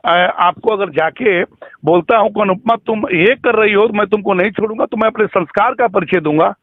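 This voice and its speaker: A man's voice: high-pitched, moderate pace, no echo. A man interviewing someone over the phone, earnest and opinionated, putting pointed questions and views in conversation. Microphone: telephone. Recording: tinny narrowband phone call recording.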